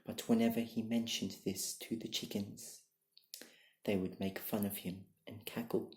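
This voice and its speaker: A young adult reading bedtime stories in a soft-spoken, partly whispered ASMR style, with a gentle, deliberate and hushed delivery. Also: level tone. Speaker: ASMR storyteller. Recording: low-quality, echoey room recording.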